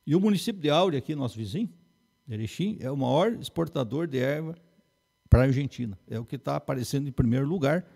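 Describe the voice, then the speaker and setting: A man in his fifties with a gaúcho accent from southern Brazil, a forestry engineer explaining as a guest in a small TV studio, reciting figures in a steady way. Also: medium pitch, fast, creaky. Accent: Rio Grande do Sul gaúcho Portuguese.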